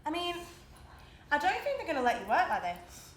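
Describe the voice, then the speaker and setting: A woman's voice: high voice, huge pitch swings, brisk, a bit bright, full character voice. A woman playing a concerned, probing, awkwardly kind workmate in a live stage play, asking questions solicitously. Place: indoor stage, recorded live. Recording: echoey, noisy live theatre recording.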